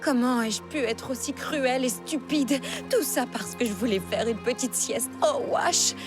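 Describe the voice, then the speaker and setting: A woman voicing a snooty, sharp-tongued female cat in a French cartoon, with dramatic delivery. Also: a little high, a little slow, lightly acted. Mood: remorseful. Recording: music behind the voice.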